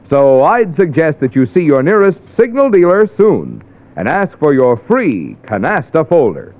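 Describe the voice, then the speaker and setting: A man announcing a commercial in polished 1950s radio style, selling hard. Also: a little high. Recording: muffled old radio recording.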